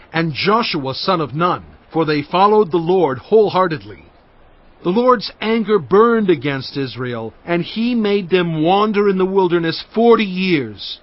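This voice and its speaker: An actor playing Moses, a stern aging prophet who speaks in a measured, rebuking way, on a dull lo-fi audio drama recording. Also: male voice, a little high.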